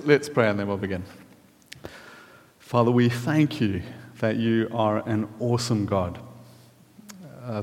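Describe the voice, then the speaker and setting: South African man preaching in a reverberant hall. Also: lowish voice. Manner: chatty, friendly, filler-heavy. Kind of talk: casual sermon with audience questions. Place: church hall.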